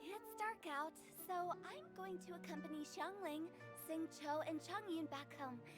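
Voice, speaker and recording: A woman's voice: very high-pitched, unhurried. A woman playing a playful, mischievous young hostess in a game cutscene, with a teasing, theatrical delivery. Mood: easygoing. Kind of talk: animated game cutscene dialogue. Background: background music.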